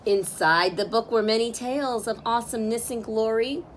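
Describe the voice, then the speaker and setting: High-voiced woman reading a children's story. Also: normal speed, slight background noise. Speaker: storyteller reading a picture book aloud. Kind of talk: expressive children's read-aloud narration.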